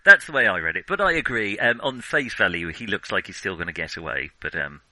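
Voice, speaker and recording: A man's voice: low voice, expressive, a little fast, sharp tone, no echo, poor audio. A man co-hosting a film podcast, talking casually and hesitantly, thinking aloud with digressions and a nerdy enthusiasm. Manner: self-confessed nerdy enthusiasm.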